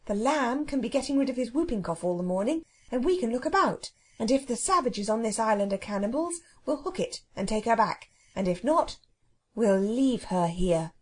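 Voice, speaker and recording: A woman voicing an impatient, bossy schoolboy who takes charge in a brisk, commanding manner in a storybook. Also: a little high, expressive, husky. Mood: bossy, planning.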